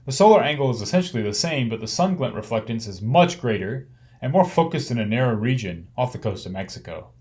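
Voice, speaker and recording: A man narrating an instructional slide lecture, explaining things step by step in measured, clearly organised phrases. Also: medium pitch, big pitch swings, a little mellow.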